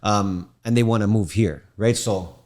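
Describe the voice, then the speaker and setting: A man's voice: fairly low pitch, normal speed, crowd noise. Friendly man hosting an interview. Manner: friendly, many "um"s and affirming "yeah"s. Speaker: podcast co-host. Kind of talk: casual podcast interview.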